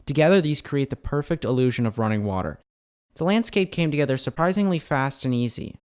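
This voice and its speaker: A man with a medium-pitched voice narrates a scripted build showcase. He presents as the host of a hobby channel and sounds enthusiastic about the craft. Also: expressive, a little fast, darkish tone, clean tone.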